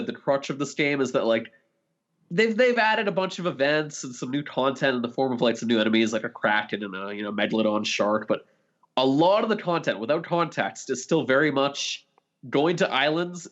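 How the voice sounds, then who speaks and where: A man's voice: medium pitch, fairly bright tone. A man co-hosting a podcast, chatting casually about video games and peppering his sentences with "like". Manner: frequent "like" as a filler. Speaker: podcast co-host. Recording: slightly dull, lo-fi voice recording.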